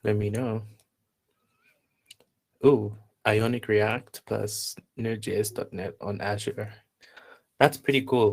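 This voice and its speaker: Slow, low-key man with a creaky voice. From Zambia. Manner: unhurried, halting, reading out chat replies. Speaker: workshop instructor and host. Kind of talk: casual live online workshop welcome, chatting with attendees.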